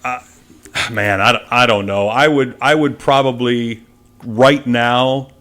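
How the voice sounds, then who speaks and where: A man's voice: mid-range voice, fairly even intonation, takes it slowly, a bit bright. An American man, a sports announcer, chatting casually as a guest in a podcast interview.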